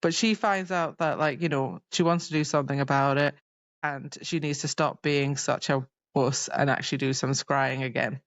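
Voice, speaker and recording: A woman's voice: rumbling low, fairly even intonation, moderate pace, no room sound, dull audio. A woman co-hosting a podcast, chatting casually and retelling a story by acting out the dialogue. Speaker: podcast co-host. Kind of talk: casual chat recapping a fantasy novel.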